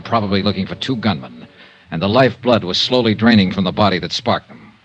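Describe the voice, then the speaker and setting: An actor playing an earnest, persistent insurance investigator in a 1950s radio noir, plain-spoken and clipped. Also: male voice, on the low side. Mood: somber narration. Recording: dull vintage radio recording, music behind.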